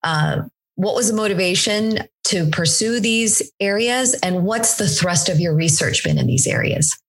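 A woman's voice: mid-range voice, very animated, measured pace, slight background noise. A woman hosting an interview, reading a formal introduction and then asking questions with some fillers. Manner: reads bio smoothly, then asks questions with fillers. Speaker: interview host.

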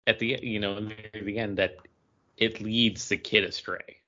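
A man with a fairly low voice talks casually as a podcast co-host, working out his thoughts aloud with a wry edge. The audio is a little dull and lo-fi. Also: fairly even intonation, unhurried, pushed and bright. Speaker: podcast co-host reviewing comics. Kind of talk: casual panel discussion.

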